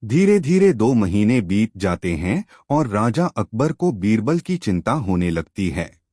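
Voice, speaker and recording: Low-voiced man narrating slowly, very lively intonation. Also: dry recording, clean studio sound. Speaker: storyteller. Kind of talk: scripted children's moral story narration.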